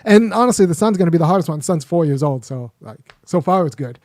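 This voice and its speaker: A man's voice: fairly high pitch, lively intonation, fast talker, a little mellow, dry recording. Talkative man in a dry, close room. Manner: rambling, frequent restarts and fillers. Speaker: host. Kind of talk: casual commentary monologue.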